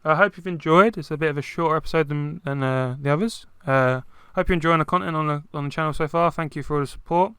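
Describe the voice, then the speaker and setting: A man's voice: fairly high pitch, fast talker, few pauses, a bit bright, smooth, dry recording. A young man co-hosting a casual football chat, talking loosely with many fillers. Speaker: podcast co-host.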